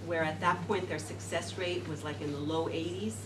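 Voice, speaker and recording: A middle-aged woman speaks to a group in a classroom, her voice a bit low and heard from across the room. The recording is roomy, and steady noise sits underneath. She rambles, piling up fillers and restarts as she goes. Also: moderate pace, recorded from a distance. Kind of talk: informal talk to a seated audience. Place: classroom, indoors. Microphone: far camera.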